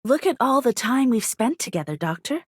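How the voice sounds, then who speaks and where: A woman's voice: on the high side, sing-song, normal speed, husky. A woman voicing an earnest, dutiful and devoted swordswoman in a game. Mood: earnest resolve. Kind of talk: scripted game character lines.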